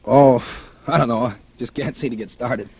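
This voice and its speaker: A man's voice: mid-range voice, fairly even intonation, moderate pace, warm tone, slightly rough, no echo. An actor playing a cynical, scheming male writer in a 1940s radio drama, talking in a colloquial, calculating way. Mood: defensive, irritated. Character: smooth scheming male writer, cynical opportunist courting a dying heiress. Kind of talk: 1940s radio drama acting, first-person noir narration. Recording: noisy vintage radio recording.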